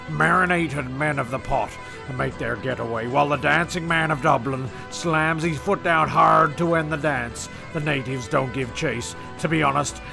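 A man's voice: fairly high pitch, vocal fry. A man playing a wry, exasperated comedy narrator, sweary and stumbling through his script. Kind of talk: comic scripted narration with ad-libs.

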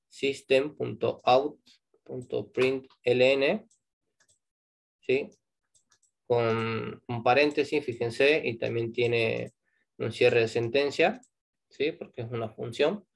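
Argentine guy teaching programming online, raspy low voice, keeps asking "¿sí?". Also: slight background noise. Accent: Argentine Spanish. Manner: explains step by step, tags lines with a checking "¿sí?". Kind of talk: live online programming class.

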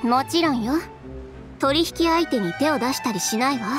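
Proud young designer woman, high voice, lively pitch, blunt, self-assured, anime game. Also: music in the background. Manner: direct, brisk, self-assured. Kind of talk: Japanese anime game voice acting.

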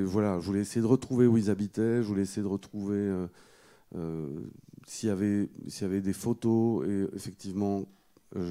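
A middle-aged man telling a story at a panel discussion in a halting, hesitant way, often repeating words, into a handheld microphone. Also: lowish voice, mellow. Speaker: guest speaker, photographer. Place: indoor auditorium stage. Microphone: handheld microphone held close.

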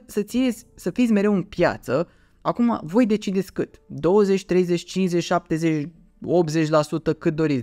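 Male video host, thirties, slightly high pitch, casual explainer delivery, dry recording. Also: a little mellow, no room sound. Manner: conversational, rhetorical questions, repeats words for emphasis. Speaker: finance YouTuber, host. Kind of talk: casual explanatory talk to camera. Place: small dim home room, indoors.

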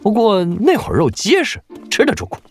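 An actor playing an obese, fawning general with a folksy, self-deprecating manner and a husky voice in a Chinese wuxia audio drama. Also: male voice, high voice, wildly swooping pitch, a little fast, soft background music, heavily acted. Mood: folksy, eager. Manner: obsequious, chuckling, sighing, folksy.

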